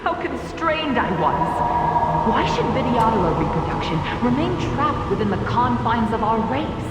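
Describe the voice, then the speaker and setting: A woman's voice: fairly high pitch, background noise, close to an everyday voice. A woman voicing a stern, haughty and zealous dragon alchemist in a video game, with an imperious, theatrical delivery. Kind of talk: video game character acting. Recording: echoey hall from far off, music behind.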